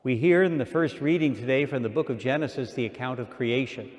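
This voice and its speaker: A man in his sixties, an archbishop, preaching a sermon at a pulpit mic in a large church, slow and measured with repeated phrases. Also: medium pitch. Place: large church sanctuary, indoors. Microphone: stand mic at pulpit. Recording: long church reverb.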